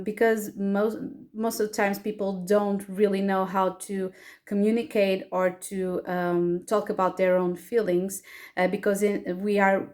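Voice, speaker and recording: A woman's voice: mid-range voice, a little mellow. A woman from Portugal with a Portuguese accent, speaking English as a second language and hosting a casual pick-a-card tarot reading, hesitant with many fillers and restarts. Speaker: tarot reader and astrologer.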